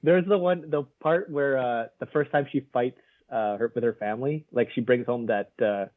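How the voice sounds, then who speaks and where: A man's voice: mid-range voice, normal speed, dry recording. A man co-hosting a casual film review podcast, rambling with lots of fillers and frequent laughs. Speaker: podcast co-host. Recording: narrow phone-like sound.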